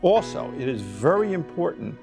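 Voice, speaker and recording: A man's voice: on the high side, sing-song, moderate pace, over music. A man reading a scripted fundraising appeal in an upbeat, appealing manner.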